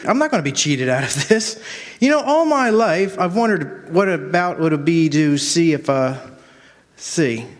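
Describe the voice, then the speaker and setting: A man's voice: fairly high pitch, expressive, unhurried. A man playing an amiable, wry young city lawyer in a stage radio drama, conversational and easygoing. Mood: wry, playful. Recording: echoey hall stage recording.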